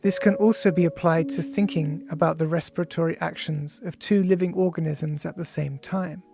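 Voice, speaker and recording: A man reading his own book as scripted audiobook narration in a studio, measured and contemplative, with deliberate pauses. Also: on the high side, fairly even intonation, gravelly. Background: music.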